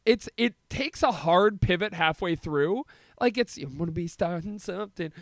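A man with a high voice that swings up and down freely, recorded dry and close. He co-hosts a casual comedy podcast, talking in a jokey way with laughter often breaking into his sentences. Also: lively intonation, normal speed, dry recording. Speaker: podcast co-host.

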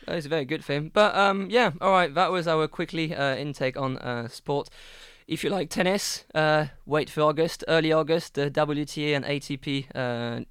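A man's voice: a little high, moderate pace, few pauses, a bit bright, smooth, dry recording, studio quality. Hesitant male podcast host. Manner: hesitant, many ums and restarts. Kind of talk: casual chat.

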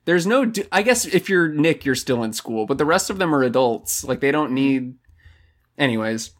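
A man's voice: medium pitch, expressive, normal speed. A man co-hosting a music podcast, chatting casually with dry, rambling jokes. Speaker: podcast co-host. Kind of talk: casual music review chat.

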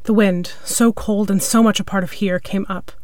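A woman's voice: medium pitch, fairly even intonation, moderate pace, darkish tone, no echo. Dry, close-sounding woman narrating literary fiction. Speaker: story narrator.

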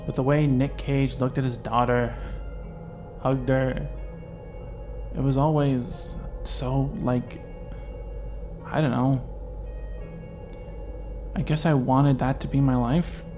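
A man playing an anxious young man in a fan audio drama, talking in a halting, rambling, self-questioning way. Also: medium pitch, steady tone, over music, close to an everyday voice. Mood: reflective. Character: anxious young man facing his identity.

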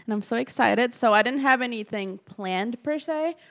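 A woman's voice: fairly high pitch, normal speed. A woman in her twenties hosting a casual podcast, chatting with a friend in an informal, playful and effusive way. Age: 20s. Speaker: podcast host.

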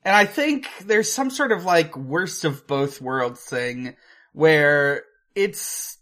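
A man's voice: fairly high pitch, expressive, slow, a bit bright. A man co-hosting a podcast in casual chat, speaking hesitantly with many filler words. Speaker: podcast co-host. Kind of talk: casual chat about films and video games.

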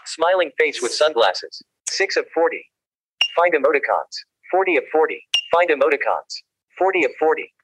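A woman's voice: deep voice, very animated, moderate pace. A synthetic woman's screen-reader voice reading menu items and counts in clipped phrases, played from a phone speaker. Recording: phone speaker with background noise.

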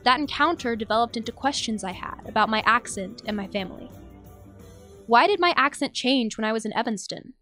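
A woman's voice: a little high, animated, a little fast, sharp tone, faint music behind. A young American woman from Tennessee reading a scripted personal audio essay as its narrator. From Nashville, Tennessee, USA. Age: young adult. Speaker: narrator, journalism student.

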